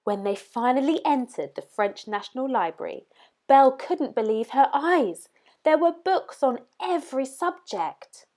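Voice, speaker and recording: Woman, high voice, very varied intonation, expressive children's storytime narration. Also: a little slow. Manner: animated, dramatic emphasis.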